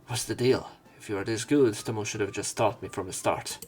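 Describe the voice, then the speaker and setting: A man's voice: fairly low pitch, a little fast, a little mellow, faint music behind. A man narrating a visual novel let's play, reading the story aloud with casual commentary.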